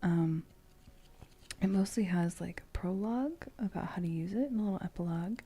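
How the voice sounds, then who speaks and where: A woman chatting casually in an ASMR style, with a soft, breathy, often whispered voice and a halting, meandering manner. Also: on the low side. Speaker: streamer host.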